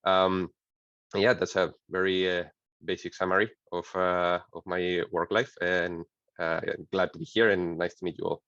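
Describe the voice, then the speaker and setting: A man from Spain with a very low voice talks in a relaxed way, with some uh fillers. The audio is dry and dull, as if from a video call. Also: normal speed, clear, resonant tone, no echo. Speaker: panelist. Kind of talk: online panel introduction.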